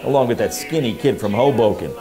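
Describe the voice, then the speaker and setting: An actor playing a slick, wisecracking 1940s radio private eye, pitching in brash, slangy tough-guy patter. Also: animated, quick pace, close to an everyday voice, rough recording. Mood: wry, nostalgic. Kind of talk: hard-boiled promo narration in old-time radio style. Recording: music under the voice. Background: music.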